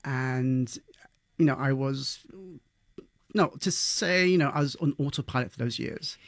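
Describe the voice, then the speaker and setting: Male interview guest, British, medium pitch, conversational storyteller, dry studio sound. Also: a little slow, no room sound. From London, England.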